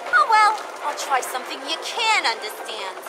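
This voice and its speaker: A woman's voice: high voice, very animated, moderate pace, over a noisy crowd, heavy background noise. A woman playing a brash, cocky criminal clown turned agent in a video game, mocking and theatrical in her taunts. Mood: taunting. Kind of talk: video game character acting.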